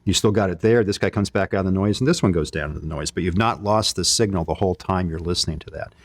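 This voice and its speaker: A man's voice: low-pitched, fast, no room sound. A man presenting technical equipment in an informal product demo, explaining it in a conversational way. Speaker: company presenter demonstrating radio equipment.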